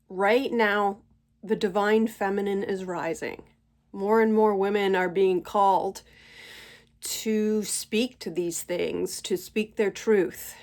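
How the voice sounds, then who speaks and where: A middle-aged woman speaks at a slow, unhurried pace, pausing often to gather her thoughts. Her voice sits in a medium pitch and is slightly congested from a cold. She talks to her camera at home in a gentle, reflective monologue. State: stuffy from a cold. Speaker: channel host.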